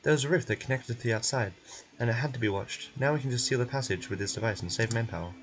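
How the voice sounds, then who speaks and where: A man's voice: medium pitch, fast, natural voice. A man voicing a chatty game research technician who explains things and grumbles but helps. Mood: explaining, grumbling. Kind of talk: game character voice acting.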